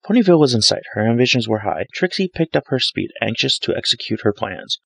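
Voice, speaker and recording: Creaky-voiced man narrating a dramatic story. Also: big pitch swings, fast talker, no echo, rough recording. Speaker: fan fiction reader, narrator. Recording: low-grade home microphone, music bed. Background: soft dramatic background music.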